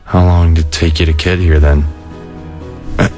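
A man acting a deep, laid-back skeleton. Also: level tone, normal speed, a little mellow, soft background music. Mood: relaxed explaining. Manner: laconic, casual, low-key. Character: laid-back, weary skeleton older brother, wry and caring. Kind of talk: animated comic dub acting.

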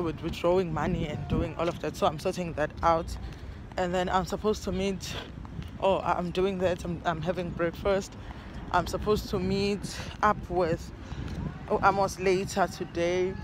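A woman's voice: lowish voice, fairly even intonation, normal speed, background noise. A young South African woman chatting casually to her phone camera outdoors, rambling and correcting herself as she talks. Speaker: vlogger. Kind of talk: casual vlog chat to camera, mixing English and Zulu. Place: outdoors in a parking lot. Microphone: phone camera at arm's length.

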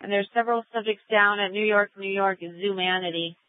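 A woman's voice: mid-range voice, fairly even intonation, fairly quick, no room sound. Tinny police radio, American woman dispatcher. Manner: procedural, clipped acknowledgements. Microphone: radio dispatch mic.

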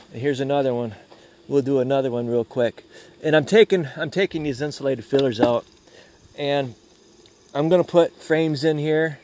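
A man's voice: medium pitch, darkish tone, a little hiss or hum. An older man beekeeper chatting casually outdoors while working his hives, rambling with frequent restarts. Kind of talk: casual hands-on demonstration. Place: outdoors among beehives in a yard.

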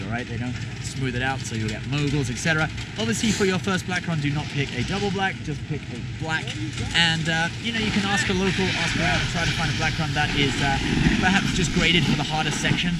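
A young man giving ski lessons on a slope, talking casually into an action camera with many fillers and checks. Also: on the high side. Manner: relaxed, talks while skiing, fillers and "okay?" checks. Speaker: ski instructor. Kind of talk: instructional vlog. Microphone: action camera on a pole. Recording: loud wind noise outdoors.

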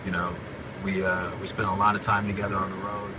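Forties male host, low-pitched, easygoing and promotional, recorded on a phone a bit off-mic in a noisy car. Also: fast. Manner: relaxed, upbeat, promotional. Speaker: podcast host and band frontman. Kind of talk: casual chat. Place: in a moving car. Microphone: phone at arm's length. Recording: loud road noise, slightly distant phone mic. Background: road and engine noise.

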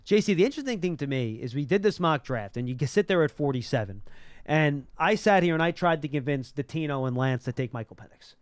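Male talk-show host for sports, quick and emphatic, casual analysis, very dry studio sound on a close mic. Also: a little high, expressive, no echo. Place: small podcast studio. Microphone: close broadcast mic on boom arm.

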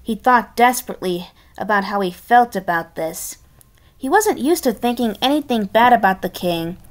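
A woman reading a children's story aloud in an expressive, halting way, with casual chatty asides. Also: fairly high pitch. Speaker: storybook reader.

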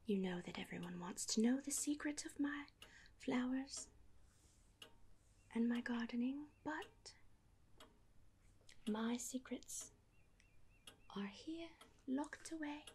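A woman's voice: sits high, a little hiss or hum. Woman acting a whispering genteel Regency lady. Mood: playfully secretive. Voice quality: soft, breathy whisper. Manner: genteel, unhurried, old-fashioned phrasing. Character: genteel Regency married sister, affectionate and house-proud. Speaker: ASMR roleplay performer. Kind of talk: whispered ASMR period roleplay. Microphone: close microphone.

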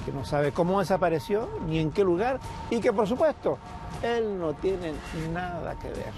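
Expressive middle-aged man speaking outdoors. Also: high voice, moderate pace. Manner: expressive, emphatic storytelling. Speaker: commentator interviewed outdoors. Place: outdoors in a park. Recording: music bed behind the voice.

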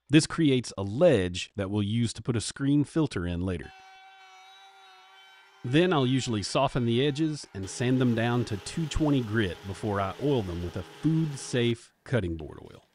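A man's voice: mid-range voice, animated, unhurried, darkish tone, slight background noise. A man, hosting a woodworking channel in an upbeat, jokey how-to manner from his home workshop. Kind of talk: scripted workshop narration.

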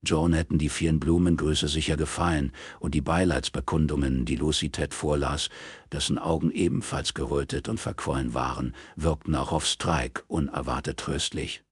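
A male audiobook narrator with an extremely low, husky voice. He reads prose soberly and in measured phrases, close to the microphone in a dry recording. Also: fairly even intonation, no room sound. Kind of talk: scripted literary narration in German.

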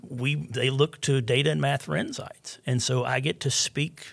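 Brief: gravelly older male guest, eager, rambling, keeps asking "right?". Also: mid-range voice, fairly even intonation, normal speed, fairly bright tone. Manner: enthusiastic, rambling with restarts and constant "right?". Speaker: guest, church member and data consultant. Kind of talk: casual podcast conversation. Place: small studio room with curtain backdrop. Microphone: desk mic on a boom arm.

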